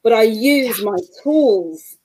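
A woman from England with a Mancunian accent, a guest coach on a remote-call podcast interview, warm and encouraging. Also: on the high side, lively intonation, slow pace, husky, rough recording. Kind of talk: casual interview chat.

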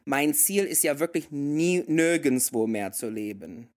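A man's voice: a little high, lively intonation, unhurried, a little mellow. A man in his thirties, a native German speaker, chatting casually and slipping into English phrases. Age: 30s. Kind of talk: casual conversation.

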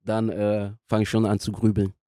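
A young man speaking casually as a podcast guest, in a halting way as he searches for words. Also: lowish voice, little pitch movement, dark tone, no echo, clean studio sound. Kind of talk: casual interview conversation.

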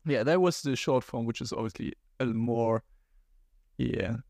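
A man's voice: medium pitch, unhurried, gravelly, darkish tone, dry recording, clean studio sound. A young man with a German accent, chatting as a podcast guest, hesitant and thinking aloud. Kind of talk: casual podcast chat.

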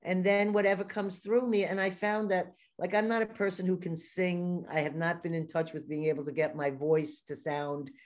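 A woman's voice: lowish voice, fairly even intonation, moderate pace, few pauses. A woman with a New York accent hosting a podcast, telling stories in a chatty way and laughing often. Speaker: podcast host. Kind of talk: casual interview conversation.

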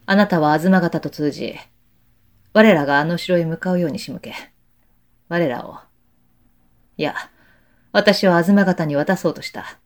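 A man's voice: on the high side, a little slow, long pauses, natural voice. Man acting an upright, earnest young samurai officer. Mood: grave, accusing. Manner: formal, respectful archaic speech. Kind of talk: Japanese period voice drama acting.

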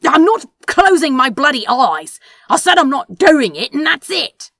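A woman voicing a volatile, sharp-tongued male demon who shouts and swears emphatically. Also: lowish voice, sing-song, takes it slowly, echoey room, far from the mic, full character voice. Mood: frantic, furious.

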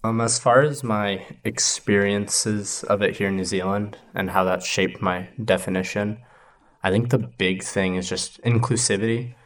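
A young man from the United States speaks with a deep, low voice. He talks in a relaxed, unhurried podcast chat, pausing on "um" and "like" as he thinks. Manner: laid-back, hesitant with "um" and "like". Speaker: guest.

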